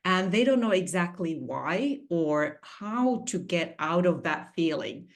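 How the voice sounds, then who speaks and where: Finnish lady coach on a video call, lowish voice, explains things slow and careful. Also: animated. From Finland. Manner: measured, explanatory, slightly non-native phrasing. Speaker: executive coach guest. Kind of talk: interview answers.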